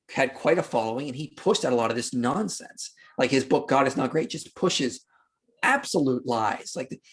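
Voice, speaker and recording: A man's voice: mid-range voice, husky. A man speaking as a podcast guest, explaining history in a casual, argumentative way with emphatic, dismissive opinions.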